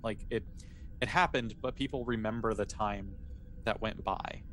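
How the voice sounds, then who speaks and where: A man narrating a tabletop sci-fi game as game master, haltingly, often restating his phrases. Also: on the low side, a bit bright, slight background noise, low-quality recording.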